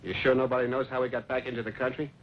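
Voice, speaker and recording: An actor playing a suspicious, grudging who is being smuggled, wary and tough-talking, in an old radio drama. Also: male voice, medium pitch, flat delivery, racing, close to an everyday voice. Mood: wary, defiant. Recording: muffled old radio recording, light noise.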